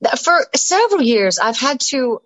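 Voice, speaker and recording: A woman with a mixed Southern American and British accent, telling stories as a podcast guest in an animated way, laughing often. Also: high voice, normal speed, no room sound. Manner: animated, laughing, many restarts and asides. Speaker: interview guest, stage actress and singer. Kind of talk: casual storytelling in a podcast interview.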